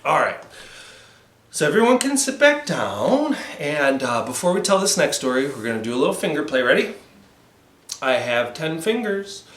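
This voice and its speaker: A man's voice: fairly high pitch, very animated, normal speed, a bit bright. A man leading a children's storytime, telling stories and encouraging young listeners as he goes. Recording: roomy, echoey sound.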